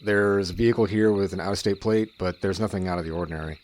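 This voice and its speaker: A man playing a gruff, matter-of-fact small-town sheriff in an audio drama. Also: low-pitched, steady tone, fast talker, a little mellow, lightly acted. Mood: alert, official.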